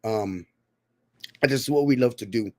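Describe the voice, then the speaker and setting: A man's voice: medium pitch, moderate pace, no echo. Man in his thirties hosting a podcast. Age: 30s. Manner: rambling, repeats words often. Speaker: podcast host. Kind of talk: casual chat.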